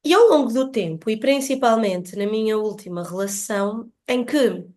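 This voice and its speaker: Female podcast guest, European Portuguese accent, fairly high pitch, earnest explanatory delivery in reflective conversation. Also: lively intonation, moderate pace, a little mellow.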